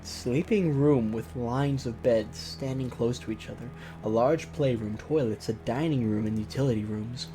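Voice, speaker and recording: A man's voice: medium pitch, normal speed, hardly any pauses, over music, rough recording. A man hosting a let's play, reading a visual novel aloud theatrically and breaking off into mocking, exclaimed asides. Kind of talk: narrated game let's play with joking asides.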